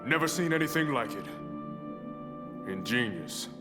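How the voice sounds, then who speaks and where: An actor playing a weary, fatalistic bomb disposal expert in a video game drama, earnest and rueful. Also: male voice, mid-range voice, big pitch swings, a little slow, in a large hall, over music. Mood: resigned.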